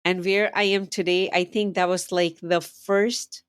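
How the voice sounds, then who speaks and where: A woman's voice: lowish voice, level tone, measured pace, clear, resonant tone, dry recording. A woman in her thirties from Pakistan, a life coach and the guest on a podcast. She talks in an open, upbeat way and laughs easily. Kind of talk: interview conversation.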